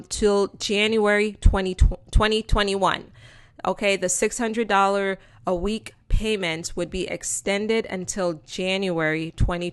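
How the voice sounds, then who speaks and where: A woman's voice: medium pitch, fairly bright tone, smooth, dry recording, studio quality. A woman with a Jamaican accent hosting a casual explainer over slides, chatting to viewers as friends with plenty of ums. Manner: chatty and informal, addresses viewers as friends and guys, many ums. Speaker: host of a channel explaining news to viewers.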